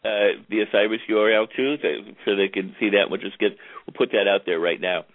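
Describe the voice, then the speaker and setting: A man's voice: fairly low pitch, steady tone, a little fast, no room sound. A man hosting an internet radio interview show, chatting in an easygoing way as he plugs the show and introduces his guest. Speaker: radio talk show host. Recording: tinny phone-like line, dry.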